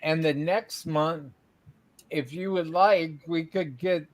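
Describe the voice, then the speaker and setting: A man's voice: a little high, fairly even intonation, slow pace, no echo. A man hosting a live-streamed show, chatting casually with a band, reading out chat messages and laughing often. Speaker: livestream show host.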